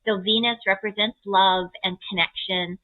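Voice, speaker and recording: A woman speaking as an astrologer, explaining a chart reading conversationally in a gentle, encouraging way with simple analogies. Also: medium pitch, steady tone, normal speed, phone-quality sound.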